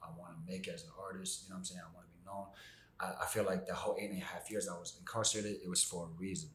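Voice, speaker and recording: Man, casual podcast guest, rapid rambling talk, echoey room. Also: sits low, level tone, a bit bright, slight background noise. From born in Argentina, raised in Maryland. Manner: rambling, lots of "you know what I'm saying". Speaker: guest artist.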